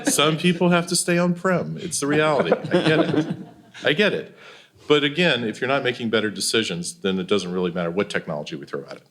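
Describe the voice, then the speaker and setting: A man's voice: medium pitch, fairly quick, fairly bright tone, a little hiss or hum. An American man talking conversationally as a panelist and consultant. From Louisiana, US.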